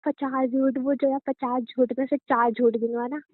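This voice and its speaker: A woman's voice: sits high, flat delivery, normal speed. A young woman sweet-talking her partner on a phone call, coaxing and then turning indignant. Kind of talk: playful scripted phone banter.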